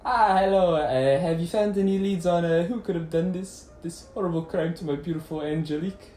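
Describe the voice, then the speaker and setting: A man playing a flustered, defensive French husband with a French accent and halting, evasive delivery in a puppet-show mystery. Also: fairly high pitch, dark tone, echoey room, soft background music, natural voice. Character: Emil, defensive French husband of a murdered woman.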